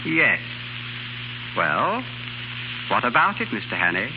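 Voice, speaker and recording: An actor playing a suave murderous professor and spy with polite menace, in a noisy 1930s radio drama. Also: male voice, medium pitch, very animated, takes it slowly. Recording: noisy, muffled 1930s radio broadcast recording.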